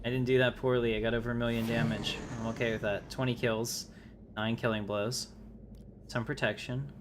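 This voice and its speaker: A man's voice: medium pitch, level tone, moderate pace, background noise. A man livestreaming a game, chatting casually and off the cuff with his viewers. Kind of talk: casual livestream gaming chat.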